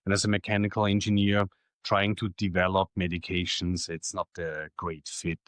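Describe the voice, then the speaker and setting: A middle-aged man from Austria, German his first language, with an Austrian German accent, answering interview questions in a plain, matter-of-fact way with many pauses. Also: sits low, little pitch movement, a bit bright, dry recording. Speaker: guest.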